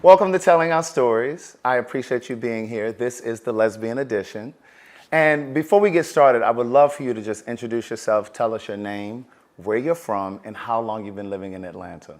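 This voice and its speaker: Host, male, middle-aged: friendly, conversational, mid-pitched, loose restarts. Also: normal speed, darkish tone. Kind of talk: relaxed interview chat.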